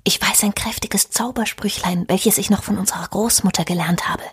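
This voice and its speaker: A woman playing a plucky little girl with a hushed, secretive delivery in a fairy-tale audio drama. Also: medium pitch, fairly even intonation, moderate pace. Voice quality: hushed, breathy. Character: plucky little girl casting a magic rhyme.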